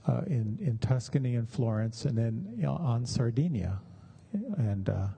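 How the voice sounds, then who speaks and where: Husky, very mellow man, gently creaky. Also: medium pitch. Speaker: meditation teacher giving a talk. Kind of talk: conversational dharma talk with personal stories.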